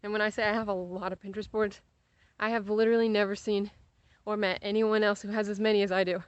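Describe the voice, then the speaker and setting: A woman's voice: medium pitch, level tone, fast, smooth, dry recording, highs cut off. A young American woman chats casually while walking outdoors, rambling with playful exaggeration and easily sidetracked. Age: young adult. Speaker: vlogger.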